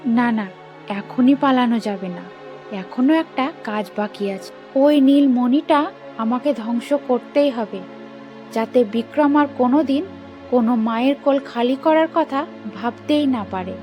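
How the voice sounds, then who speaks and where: Woman acting high-voiced, wronged, defiant young mother. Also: measured pace, warm tone, faint music behind, close to an everyday voice. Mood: resolute. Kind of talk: dramatic animated moral story acting.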